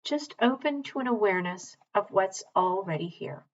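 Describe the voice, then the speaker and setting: A woman teaching mindfulness, leading a guided body scan in a calm, measured, gentle way with long pauses. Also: mid-range voice, highs cut off, slight background noise.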